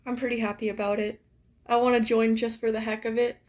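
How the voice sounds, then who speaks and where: Chatty young woman, slightly raspy morning voice. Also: a little high, little pitch movement, fast talker, a little mellow. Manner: chatty, filler-heavy, many "like"s. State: morning voice, a little raspy and deeper. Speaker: streamer. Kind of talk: casual live-stream chat.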